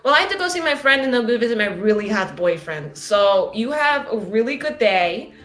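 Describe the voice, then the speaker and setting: Woman playing a bright, swooping, chatty flirt. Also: a little high, big pitch swings, a little fast, soft background music. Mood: breezy, cheeky. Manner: gushing, cheeky, rambling.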